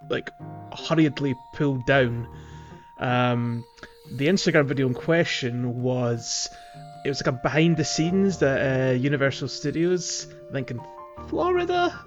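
A man co-hosts a casual gaming podcast at a middling pitch. He speaks in a loose, hesitant ramble. Music sits in the recording with his voice. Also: muffled recording. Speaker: podcast co-host.

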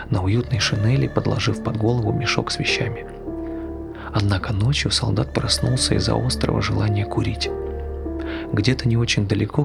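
A man narrating a scripted tale for a stage play in a hushed, measured, gravelly voice. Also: on the low side. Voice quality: gravelly, creaky. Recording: loud music under the voice. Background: loud music.

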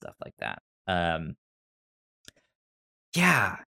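A man hosting a podcast, chatting casually about a TV series in a halting way, often repeating the starts of words. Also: sits low, huge pitch swings, creaky, sharp tone. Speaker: podcast host. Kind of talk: casual chat discussing a TV series.

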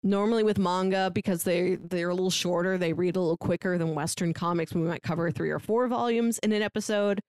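A woman's voice: fairly low pitch, brisk, hardly any pauses, darkish tone, smooth, no echo. A woman hosting a podcast in a casual, chatty style. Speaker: podcast host.

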